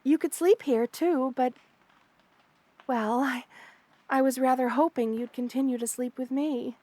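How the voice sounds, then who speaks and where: A woman voicing a kind, motherly spider queen in an ASMR roleplay, soft-spoken, doting and reassuring as she speaks to the listener. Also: high voice, measured pace, no echo. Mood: fond, excited. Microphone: close studio mic, dry.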